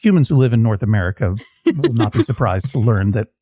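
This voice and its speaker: A man's voice: on the low side, fast talker, mellow, no echo. A man hosting a podcast as a mock judge, questioning guests with wry jokes and rambling asides. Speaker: podcast host acting as judge. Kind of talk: playful comedic questioning.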